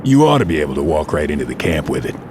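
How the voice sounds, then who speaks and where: A man playing a gruff, laconic veteran soldier. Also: extremely low pitch, sing-song, racing, background noise. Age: middle-aged. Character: gruff, tough veteran male mutant soldier.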